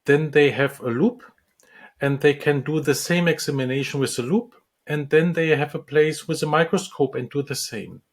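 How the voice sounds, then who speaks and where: A man from the Republic, a dentist and lecturer, answering interview questions with many pauses, ums and restarts. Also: a little high. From Czech Republic. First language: Czech.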